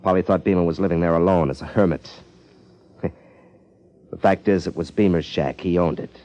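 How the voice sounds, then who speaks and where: A man acting a sullen, slow-witted crook in a 1950s radio drama, hesitant and guarded. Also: low-pitched, fairly even intonation, dark tone, lo-fi sound, close to an everyday voice. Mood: confessing. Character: cornered small-time male crook, sullen, slow-witted impostor.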